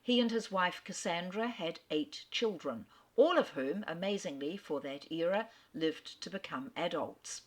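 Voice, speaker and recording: A woman giving an enthusiastic, expressive talk on a classic author, narrating like a storyteller. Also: lowish voice. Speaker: literary speaker. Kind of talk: lecture narration.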